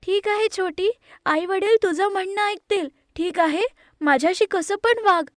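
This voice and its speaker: A woman voicing a gentle, self-sacrificing elder sister, a girl, in an animated moral story, speaking in a soft, conciliatory way. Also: very high-pitched, unhurried, no room sound, studio quality, voice pushed up. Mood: yielding.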